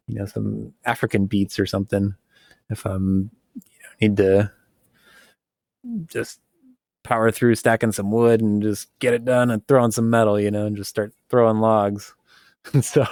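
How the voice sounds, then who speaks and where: A man co-hosting a casual podcast conversation, talking in a relaxed, rambling way with many fillers and frequent laughs. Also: on the low side, vocal fry, dry recording, studio quality. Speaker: podcast co-host.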